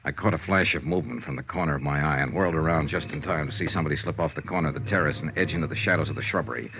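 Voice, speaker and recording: A man's voice: a bass voice, racing, noisy background. An American actor playing a tough, shrewd male investigator in a 1950s radio noir serial, with clipped first-person delivery. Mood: tense, measured. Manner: clipped, hard-boiled delivery. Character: tough, shrewd male freelance insurance investigator, hard-boiled. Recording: muffled vintage radio recording, orchestral music.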